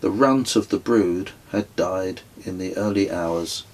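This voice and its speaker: A man's voice: low-pitched, unhurried, darkish tone, slight background noise. A middle-aged man reading his own travel memoir as an audiobook. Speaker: author reading own book.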